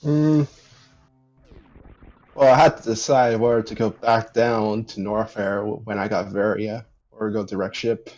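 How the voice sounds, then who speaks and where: A man's voice: on the low side, fairly even intonation, a little slow, a little mellow, dull audio, faint music behind. A man from Canada giving short, understated answers as a speedrunner in a casual interview. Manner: laconic, understated. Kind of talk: casual post-race interview.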